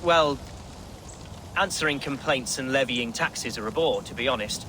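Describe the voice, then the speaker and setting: A man's voice: medium pitch, normal speed, background noise, close to an everyday voice. An actor playing an earnest, nervous young Saxon nobleman with flowery speech in a video game. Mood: self-important. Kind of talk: video game cutscene acting.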